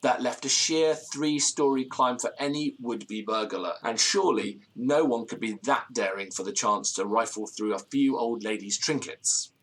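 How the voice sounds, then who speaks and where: An actor playing an anxious, genteel British gentleman who recounts a ghost story in formal, ornate, theatrical phrases. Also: medium pitch, poor audio. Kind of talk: audio drama storytelling, period ghost tale.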